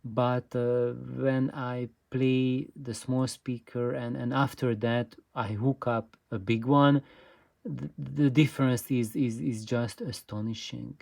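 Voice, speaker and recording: Slow, halting explainer, medium pitch. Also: steady tone, creaky, a little mellow. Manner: halting, hesitant delivery with frequent pauses and repeated words. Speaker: host of an audio gear channel. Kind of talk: informal explainer talk.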